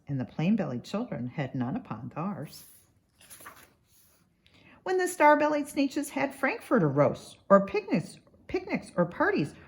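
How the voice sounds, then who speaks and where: A woman giving an expressive, rhythmic and dramatic narration of a children's storybook. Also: lowish voice. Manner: rhythmic, dramatic read-aloud. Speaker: doctor reading a children's book.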